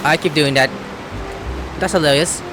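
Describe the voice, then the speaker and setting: A woman's voice: deep voice, normal speed. A woman giving casual playthrough commentary, reacting and talking to the game's characters. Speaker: gaming video host. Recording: game music behind the voice.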